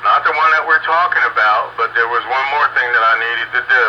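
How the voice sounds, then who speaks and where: Male radio operator, medium pitch, casual chat over a noisy CB transmission. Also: brisk, lo-fi sound. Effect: two-way radio transmission. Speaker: base station radio operator.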